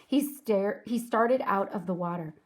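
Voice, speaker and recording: A woman reading aloud to children like a teacher, speaking clearly and deliberately in a storybook narration style. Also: medium pitch. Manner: clear, deliberate, addresses young listeners.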